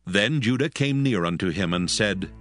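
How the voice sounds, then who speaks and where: Lowish animated male scripture narrator. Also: moderate pace, soft background music.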